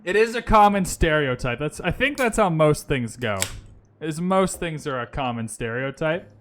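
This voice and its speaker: A man's voice: on the high side, animated, normal speed, fairly bright tone, slight background noise. A man streaming a strategy game, thinking his moves aloud and chatting casually with his viewers. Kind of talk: casual gaming commentary. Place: lives in Vancouver.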